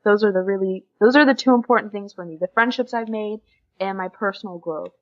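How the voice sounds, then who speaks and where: A woman's voice: medium pitch, brisk. Chatty young American woman, slightly dull recording. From USA. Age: young adult. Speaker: podcast guest, exchange student. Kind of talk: casual interview chat.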